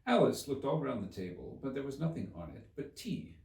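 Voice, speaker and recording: A man's voice: medium pitch, sing-song, brisk, vocal fry, a little mellow. Male audiobook reader with hugely varied intonation, scripted storytelling, slightly distant roomy sound. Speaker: audiobook narrator. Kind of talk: animated storybook narration.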